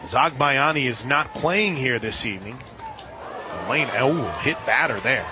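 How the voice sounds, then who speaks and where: A man doing live radio play-by-play commentary of a softball game. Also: mid-range voice, a little slow. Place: outdoor softball stadium broadcast position. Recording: outdoor ballpark, clear crowd noise behind.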